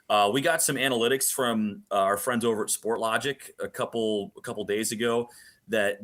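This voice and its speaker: A man's voice: fairly low pitch, bright, forceful tone. A man working as a hockey broadcaster, giving sports analysis as a guest and repeating phrases for emphasis. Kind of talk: animated sports talk, analysis.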